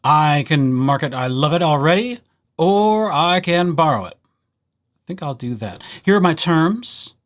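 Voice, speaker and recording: Playful man with lively, swooping intonation. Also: on the high side, unhurried. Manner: playful, wry, chatty asides. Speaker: librarian presenting a tutorial series. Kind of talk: casual screen-share software walkthrough.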